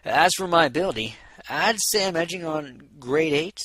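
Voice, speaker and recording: A man voicing a boastful, smooth-talking male pony, a self-important musician and inventor, in a storybook reading. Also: on the high side, lively intonation, moderate pace, a bit bright, no echo, voice pushed up.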